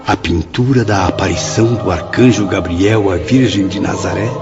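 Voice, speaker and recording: A Brazilian man giving calm, literary first-person narration as a character in a radio drama. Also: sits low, normal speed, vocal fry. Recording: muffled, loud music behind.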